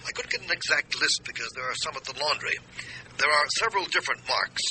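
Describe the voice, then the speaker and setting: A man's voice: on the high side, lively intonation, fast talker, sharp tone, slight background noise, poor audio. An actor playing a cautious, formal male lawyer in a radio drama, reporting in a careful, hesitant manner.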